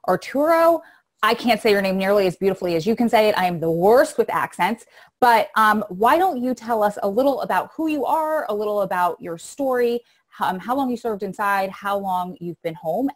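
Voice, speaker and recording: A woman hosting her channel and introducing a guest, upbeat and welcoming. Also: mid-range voice. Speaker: channel host. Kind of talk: casual interview introduction.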